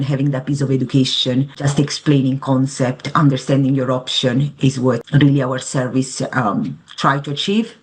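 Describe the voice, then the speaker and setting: A woman from Italy with an Italian accent, a financial advisor explaining things earnestly and conversationally as an interview guest. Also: very deep voice.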